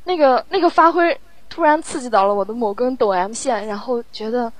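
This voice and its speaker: Female audio editor, higher register, relaxed casual chat with a playful streak. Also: a little fast, no echo, rough recording. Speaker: audio drama post-production sound editor.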